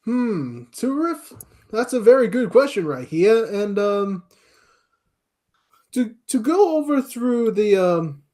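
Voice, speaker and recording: A man's voice: high voice, very animated, mellow. Hesitant man answering as interview guest. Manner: halting, hesitant delivery. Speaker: interview guest, amateur voice actor. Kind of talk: casual interview chat.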